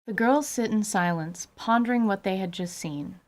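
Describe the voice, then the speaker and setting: A woman's voice: medium pitch, moderate pace. Woman narrating a scripted fantasy story.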